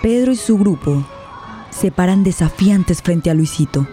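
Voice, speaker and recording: A woman's voice: fairly low pitch, expressive, unhurried, over music. A woman narrating a scripted radio drama in a dramatic storytelling style.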